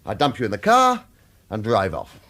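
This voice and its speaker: An actor playing a weary former hitman in a radio thriller, earnest and persuasive, both arguing and narrating his own story, with a slightly husky voice. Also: on the low side, huge pitch swings, moderate pace, full character voice. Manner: urgent, insistent, pleading in dialogue, hushed in narration. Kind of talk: radio thriller acting with first-person narration.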